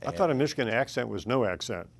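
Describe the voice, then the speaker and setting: A man's voice: mid-range voice, big pitch swings, fast talker, creaky. A man hosting an interview, asking questions in a conversational way. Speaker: host. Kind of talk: casual interview chat.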